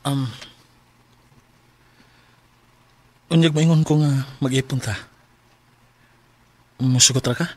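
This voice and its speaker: A man's voice: medium pitch, fairly even intonation, moderate pace, no room sound, close to an everyday voice. A man with a Cebuano Filipino accent playing an earnest separated father in his late thirties in a radio drama. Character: earnest 37-year-old separated father courting a younger woman.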